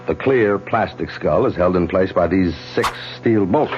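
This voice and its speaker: An actor playing a calm male surgeon-scientist in an old radio drama, explaining things in a measured way. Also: sits low, over music. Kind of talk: 1970s radio drama acting. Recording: dull lo-fi old radio broadcast.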